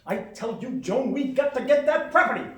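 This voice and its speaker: A woman's voice: high voice, animated, normal speed. A woman playing a pushy, irritable male businessman in a live radio play, blustering and bossy. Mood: exasperated. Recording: echoey hall, distant microphone.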